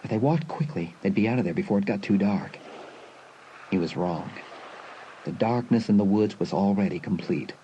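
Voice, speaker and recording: A man's voice: lowish voice, normal speed, dark tone. A man narrating a scripted suspense story for a dramatised audiobook. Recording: dull lo-fi sound, clear background noise.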